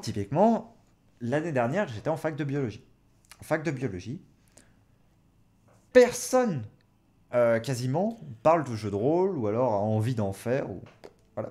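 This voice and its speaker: A young man in his twenties speaks in a casual, conversational way. He thinks aloud as he gives advice, hesitating along the way. His pitch sits in a middle range for a man. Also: a little mellow. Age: 20s. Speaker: host, experienced game master giving advice. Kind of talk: casual chat, advice to beginners.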